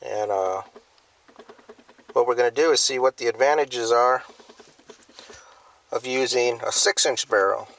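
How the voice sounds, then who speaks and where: Halting man filming at a desert range. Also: medium pitch, a bit bright. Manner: hesitant, many "uh" fillers, plain and matter-of-fact. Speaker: firearms video host. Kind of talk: casual hobby demonstration. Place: outdoors in open desert.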